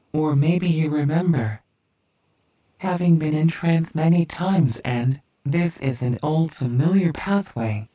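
A hypnotist speaking a slow, soothing, repetitive guided trance script. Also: mid-range voice, warm tone.

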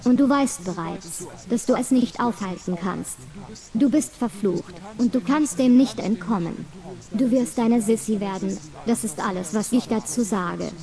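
A young woman slowly reading a scripted hypnosis recording, speaking straight to the listener in a commanding, insistent way and repeating phrases in a hypnotic rhythm. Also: on the high side, mellow.

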